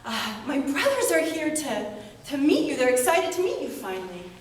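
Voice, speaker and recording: A woman voicing an eager, sweet young girl in a high, gushing sing-song, heard from far off in an echoey church. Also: sing-song, normal speed, a little hiss or hum. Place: church sanctuary.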